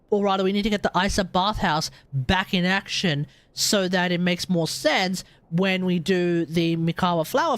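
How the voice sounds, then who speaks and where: A woman's voice: on the low side, no room sound. A woman doing casual live game commentary as a streamer, slangy and opinionated, reacting aloud.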